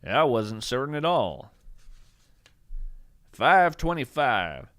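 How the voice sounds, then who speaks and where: A man's voice: mid-range voice, big pitch swings, takes it slowly, fairly bright tone, dry recording, clean studio sound. Man playing an eccentric, musing naturalist, widely swooping delivery. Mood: mysterious, puzzled. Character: eccentric male naturalist host, musing, earnest, whimsical. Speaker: podcast host and narrator. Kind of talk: scripted fiction podcast narration with poetry readings.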